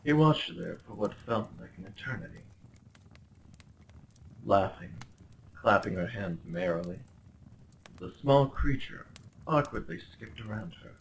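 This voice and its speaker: A man's voice: fairly low pitch, lively intonation, a little slow, gravelly, long pauses, slight background noise, poor audio. A man playing a smug, possessive crown prince in a roleplay audio drama, speaking with formal, condescending politeness and theatrical flair. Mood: tender, obsessed. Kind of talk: roleplay ASMR acting.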